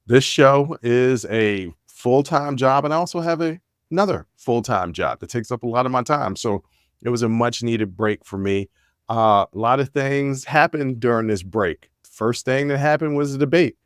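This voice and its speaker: A man's voice: mid-range voice, expressive, normal speed, clear, resonant tone, no echo, clean studio sound. A man in his forties hosting a podcast, talking in a candid, rambling, laid-back way with plenty of fillers and restarts. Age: 40s. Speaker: podcast host. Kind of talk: casual solo monologue. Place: Washington, D.C.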